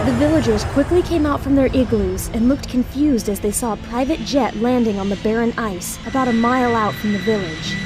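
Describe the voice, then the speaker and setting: A woman reading scripted story narration for an audio drama. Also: fairly high pitch.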